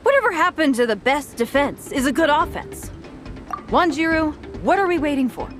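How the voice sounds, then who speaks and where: A woman's voice: sits high, animated, moderate pace. A woman playing a brave but nervous young warrior in a video game story, dramatic and resolute. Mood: impatient. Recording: game music behind.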